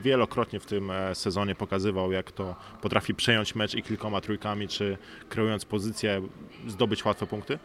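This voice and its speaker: Male athlete, low voice, analytical interview talk, thoughtful explanations, noisy ambience. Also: a bit bright. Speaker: professional basketball player, interview guest. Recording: clearly noisy background ambience.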